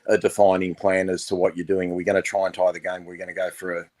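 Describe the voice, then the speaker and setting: An Australian man co-hosting a podcast, with a hoarse, recovering voice and a relaxed, rambling way of talking, full of fillers. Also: sits low, fast, dry recording. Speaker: podcast co-host. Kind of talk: casual chat.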